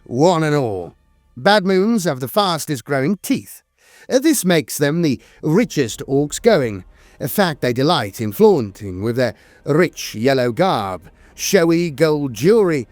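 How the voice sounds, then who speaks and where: A man's voice: fairly high pitch, darkish tone, no echo. A man reading scripted lore narration in a theatrical, emphatic storytelling manner.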